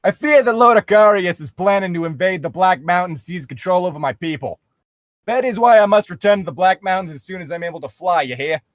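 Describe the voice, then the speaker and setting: A brash griffin warrior, played by a man talking like a tough Boston guy. He sounds mock-serious, in a dry close recording with a slightly high male pitch. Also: expressive, quick pace, dry recording. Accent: put-on Boston.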